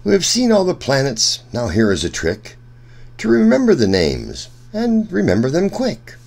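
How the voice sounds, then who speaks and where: A man reading a rhyming children's picture book aloud in an expressive, sing-song storyteller's way. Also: on the high side, normal speed, creaky. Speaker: children's story reader, host.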